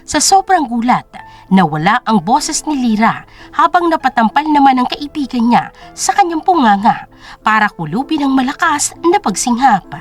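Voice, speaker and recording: A woman's voice: sits high, animated, normal speed, soft background music. A woman narrator reading a scripted story in a dramatic storytelling style.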